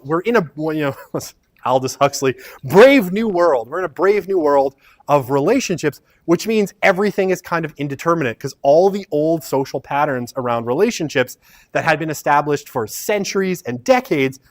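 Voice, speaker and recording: Rambling, chatty male sociology lecturer. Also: fairly high pitch. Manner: rambling and self-deprecating, frequent "right?" tags. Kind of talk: casual university lecture. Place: university auditorium.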